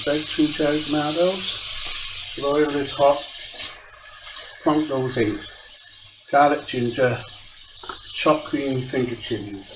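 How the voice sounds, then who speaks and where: A man's voice: medium pitch, roomy sound, noisy background. A man from South Wales with a Welsh accent, stuffy and blocked-nosed from a cold, chatting casually while cooking, his voice rising at the ends of sentences. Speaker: home cooking channel host. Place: home kitchen.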